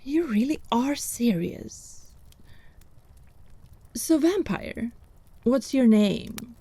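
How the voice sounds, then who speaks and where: A woman's voice: fairly high pitch, expressive, drawn-out words, mellow, breathy edge, no room sound, full character voice. A woman playing a haughty, teasing country healer in a fantasy audio drama, arch and inquisitive. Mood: wry, amused.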